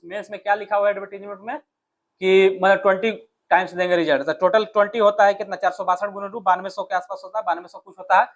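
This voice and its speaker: A man with a Bihari accent speaking as an exam coach, explaining informally and directly, often asking questions and answering them himself. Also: sits high. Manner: conversational, addresses viewers directly, often asks then answers his own questions.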